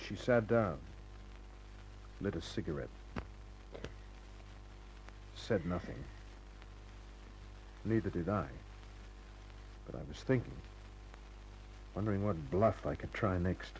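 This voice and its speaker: An actor playing a hard-boiled, cynical private detective in a 1950s radio crime drama, tough and clipped in delivery. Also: male voice, low voice, slow, long pauses, darkish tone, slight background noise, low-quality recording. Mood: tense.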